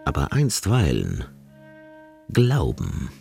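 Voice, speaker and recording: An actor playing a nervous, condescending young mathematics professor in a German radio play, lecturing in a halting, evasive way. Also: male voice, low voice, wildly swooping pitch, gravelly, darkish tone, soft background music, heavily acted. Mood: flustered, condescending. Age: young adult. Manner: halting, lecturing, swinging emphatically.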